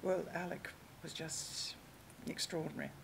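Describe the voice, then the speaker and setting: An older actress speaking emphatically on a panel on a dark theatre stage, into a table mic. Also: female voice, low-pitched, steady tone, normal speed, creaky, a little hiss or hum, poor audio.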